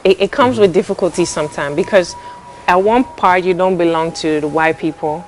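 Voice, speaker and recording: A woman's voice: on the low side, normal speed, a little hiss or hum. A woman from the Netherlands telling a personal story, acting out the dialogue she quotes. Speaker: guest.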